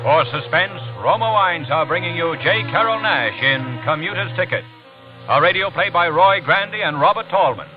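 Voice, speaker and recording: Dramatic American radio announcer over loud music. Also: male voice, on the high side, animated, moderate pace. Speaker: program announcer. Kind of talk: dramatic 1940s radio introduction. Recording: dull vintage radio recording.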